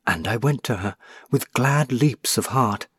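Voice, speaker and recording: A man's voice: medium pitch, unhurried, breathy edge, dry recording, clean studio sound. A man reading an audiobook, voicing the ghost of a young woman who was burned as a witch, tender and pleading. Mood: anguished. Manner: pleading, tender, rising to anguish.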